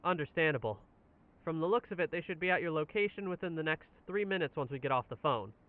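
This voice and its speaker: A man's voice: a little high, lively intonation, fast talker, phone-quality sound, natural voice. A man playing a helpful police dispatcher in an audio drama, reassuring and procedural. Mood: calm, reassuring. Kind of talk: naturalistic audio drama acting.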